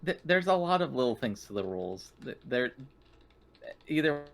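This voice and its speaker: A man's voice: medium pitch, big pitch swings, normal speed. A man playing a tabletop roleplaying game, casually talking through the rules. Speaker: tabletop RPG player.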